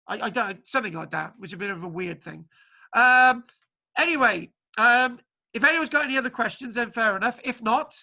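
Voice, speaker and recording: A man lecturer from Wales talking casually to his online class, halting with many restarts and fillers. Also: very high-pitched, pushed and bright. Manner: halting, full of restarts and ums. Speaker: archaeology lecturer. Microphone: video call. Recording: spotty video call connection.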